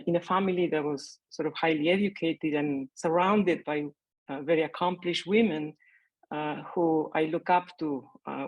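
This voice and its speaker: A woman's voice: on the low side, no room sound, rough recording. Woman on a video call. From Argentina. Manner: conversational, hesitant with many fillers. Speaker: panelist, mathematician. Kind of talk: remote panel remarks.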